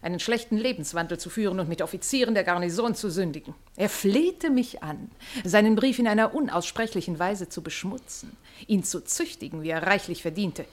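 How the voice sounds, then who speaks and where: A woman's voice: medium pitch, expressive, brisk, close to an everyday voice. An actress playing a haughty, domineering society horsewoman in a radio play, declaiming with imperious indignation. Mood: indignant. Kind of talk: theatrical radio play acting.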